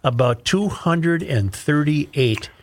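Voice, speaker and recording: A man's voice: on the high side, expressive, takes it slowly. An American man hosting a talk show, reading an article aloud and adding emphatic, opinionated commentary with repeated phrases.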